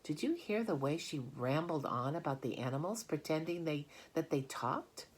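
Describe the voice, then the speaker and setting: Lady reading a worried mom in a kids book, lowish normal voice, polite and fretting. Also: animated, normal speed, a little hiss or hum. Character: concerned, gently questioning mother. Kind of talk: children's storybook reading.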